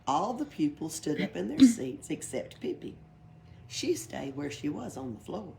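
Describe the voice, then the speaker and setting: Woman, lowish voice, animated storybook reading, faint background noise. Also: a little slow, vocal fry. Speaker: library read-aloud reader. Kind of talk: expressive children's storybook reading. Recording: background noise, library read-aloud.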